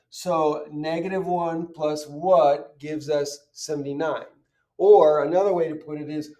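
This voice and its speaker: A man's voice: on the high side, warm tone, far from the mic. A man teaching in an informal, step-by-step way, posing questions and answering them himself as he explains. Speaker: chemistry teacher. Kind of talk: informal step-by-step lesson, worked examples.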